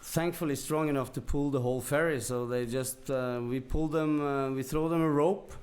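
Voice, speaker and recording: Podcast guest: Norwegian-accented man, medium pitch, casual storytelling, halting as he searches for words. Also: steady tone, a little mellow. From Norway. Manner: hesitant, searching for words in non-native English. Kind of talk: casual anecdote telling.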